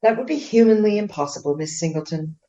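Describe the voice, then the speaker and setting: A woman playing a proud, indignant Regency gentlewoman in a radio play, speaking formally and emphatically in defence of her husband. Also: mid-range voice, expressive, quick pace, highs cut off, close to an everyday voice. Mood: haughty, affronted.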